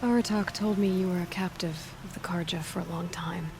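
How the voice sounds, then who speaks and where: An actress playing a young outsider huntress in a video game, plain-spoken, curious and wry. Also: female voice, fairly low pitch, fairly even intonation, a little fast, lightly acted. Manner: plain-spoken, probing questions. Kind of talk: naturalistic video game cutscene acting.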